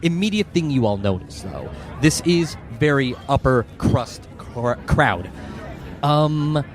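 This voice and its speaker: A man's voice: mid-range voice, lively intonation, slow, faint music behind, some chatter behind. A man working as game master, improvising narration for a tabletop game. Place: room with the players.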